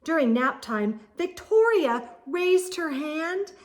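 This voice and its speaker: A woman reading a children's picture book aloud in an expressive, theatrical way, lingering on words. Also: high-pitched, slow pace. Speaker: storytime reader.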